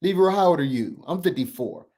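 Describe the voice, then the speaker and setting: A man in his fifties lectures with earnest conviction, circling back and repeating his points for emphasis. His voice sits a touch high for a man, and his speech carries a lilt. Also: animated, brisk, mellow. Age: 50s. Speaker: podcast co-host. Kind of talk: casual conversation on money.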